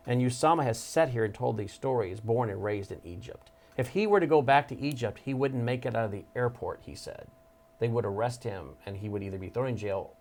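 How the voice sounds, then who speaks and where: A man's voice: mid-range voice, a little mellow. A man hosting a studio interview show, speaking emphatically and with mounting urgency. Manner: emphatic, urgent, building intensity. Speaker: talk show host. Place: studio desk.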